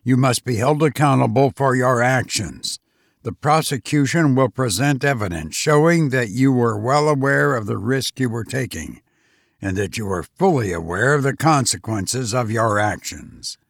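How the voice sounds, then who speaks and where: A man's voice: medium pitch, expressive, moderate pace, vocal fry, no echo, clean studio sound. A man voicing a confident young male prosecutor, grave and accusing, in formal audiobook oratory.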